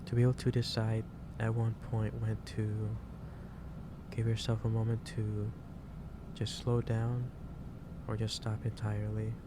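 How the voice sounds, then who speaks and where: A man playing a gentle, concerned office boss in an ASMR roleplay, speaking haltingly and repeating his phrases. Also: on the low side, completely flat delivery, background noise, close to an everyday voice. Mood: gentle lecturing. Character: gentle, concerned male office boss lecturing an overworked employee. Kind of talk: improvised ASMR roleplay addressed to the listener.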